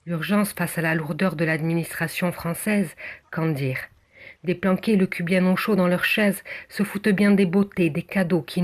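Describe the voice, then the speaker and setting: A person from southwest France reciting a poem in measured, declamatory phrases with deliberate pauses. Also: mid-range voice. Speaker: poem reader. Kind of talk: recited spoken-word poem.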